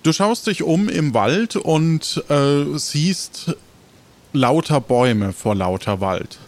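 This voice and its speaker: A man's voice: on the high side, expressive, slow. A man acting as game master, improvising the narration of an audio adventure game and answering a player.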